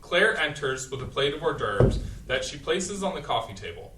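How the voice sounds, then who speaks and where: A man's voice: fairly high pitch, sing-song, normal speed, noisy background. A man reads the stage directions of a play in a plain, matter-of-fact way. He sounds some distance from the mic, in an echoey room.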